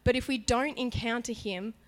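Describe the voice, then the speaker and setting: A woman worship leader giving a sermon in a church, chatty and animated in her emphasis, her voice partly gone from illness. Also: a little high, moderate pace. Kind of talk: sermon with prayer.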